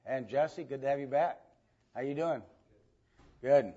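A man teaching an informal Bible lesson to a church group, with halting delivery and frequent pauses to clear his throat. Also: mid-range voice, warm tone. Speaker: church Sunday school teacher. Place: church room with a group present. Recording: dull, lo-fi church recording.

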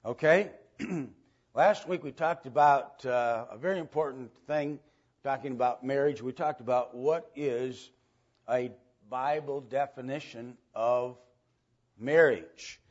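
Halting male Sunday school teacher, dull recording. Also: mid-range voice. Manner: halting, with long hesitations and throat clearing. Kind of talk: informal Bible lesson to a group. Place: church room with a group present.

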